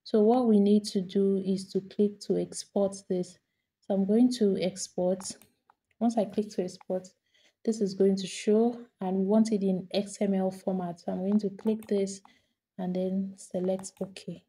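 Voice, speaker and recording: Mellow-voiced woman, level and unhurried, walking viewers through a computer setup one click at a time. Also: mid-range voice. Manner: steady step-by-step instruction, repeats each step. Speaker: tutorial host. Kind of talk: screen-recorded software tutorial.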